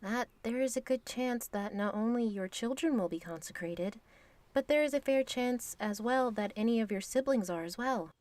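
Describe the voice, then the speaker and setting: A woman playing a composed, knowing divine being in an audio drama, with frank, measured and formal phrasing. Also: fairly high pitch, a little mellow, dry recording, natural voice. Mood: explaining.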